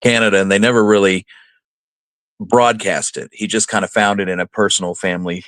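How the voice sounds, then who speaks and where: A man with a low voice chats as a radio co-host. His talk is plain and colloquial, in an easy conversational style. The recording is dry, without audible room. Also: level tone, brisk, fairly bright tone, no room sound. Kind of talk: casual chat.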